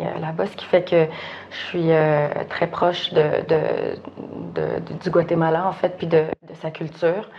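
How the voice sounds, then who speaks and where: A woman with a Québécois French accent, an actress answering interview questions softly with many hesitations. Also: sits low, dull audio, slight background noise. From Quebec. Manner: soft, hesitant, many "euh".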